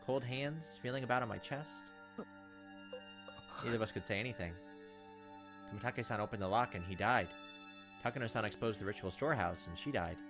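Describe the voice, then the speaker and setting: A man's voice: lowish voice, fairly quick, pauses often, music in the background. A man reading visual novel text aloud as a let's player, dropping in casual asides. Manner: casual asides between read lines. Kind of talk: narrating and commenting over a visual novel.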